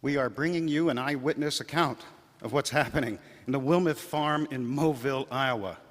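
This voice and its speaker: A man's voice: medium pitch, moderate pace, natural voice. An actor playing a formal male studio announcer reading a bulletin in an old-time radio drama. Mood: formal, grave. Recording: echoey hall, music behind.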